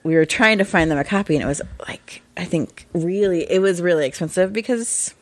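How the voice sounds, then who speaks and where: A woman co-hosting a podcast in casual chat, husky in her morning voice, chatty and laughing often. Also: lowish voice, a little fast. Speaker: podcast co-host.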